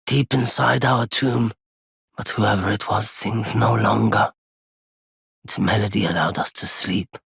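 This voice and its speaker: An actor playing a wary, proud nomadic merchant in a video game, with a husky, gravelly voice and a weighty, theatrical delivery. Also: male voice, lowish voice, a bit bright, dry recording, clean studio sound, exaggerated voice. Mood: wistful. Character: wary, courteous nomadic male merchant turned bitter and vengeful. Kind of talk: scripted game character lines.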